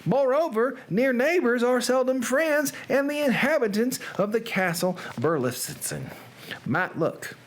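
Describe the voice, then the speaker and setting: A performer putting on an American Southern accent as the narrator of an old gothic horror tale, reading dramatically and halting on long words. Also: medium pitch, expressive, voice pushed up. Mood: solemn, measured.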